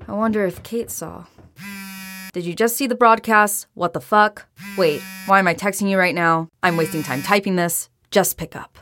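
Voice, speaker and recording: A woman's voice: medium pitch, normal speed, a bit bright, a little hiss or hum, natural voice. An actress playing a defiant, sarcastic young woman in a band, with flippant, slangy, insistent audio drama dialogue.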